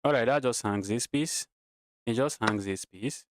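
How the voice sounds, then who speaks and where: A man's voice: mid-range voice, moderate pace. A man from Nigeria with a Nigerian accent, giving casual live commentary on his own game as a streamer, thinking aloud, repeating phrases and chatting to viewers. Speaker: chess streamer.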